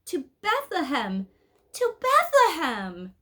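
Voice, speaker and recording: A woman plays a lost little girl from a storybook, frightened and upset. The voice leaps high and swings widely as she pleads and cries out. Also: wildly swooping pitch, slow, pushed and bright, exaggerated voice. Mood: desperate cry. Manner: pleading, crying out.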